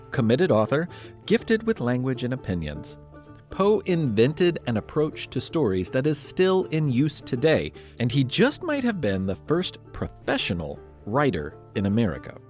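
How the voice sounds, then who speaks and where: A man's voice: medium pitch, darkish tone. A man hosting and narrating a history podcast for children, reading a script in a conversational, playfully dramatic way.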